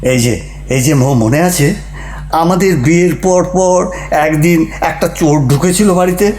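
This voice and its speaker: A man's voice: fairly high pitch, a little slow, darkish tone, roomy sound, lightly acted. An actor playing a mocking, argumentative husband who needles his wife in a theatrical comic audio play.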